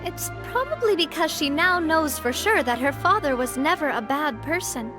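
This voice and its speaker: High voiced young lady boss from a game, poised n assertive, music behind. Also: animated, normal speed. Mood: warm, reflective. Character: confident, cheerful young woman boss of a syndicate. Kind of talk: video game character acting.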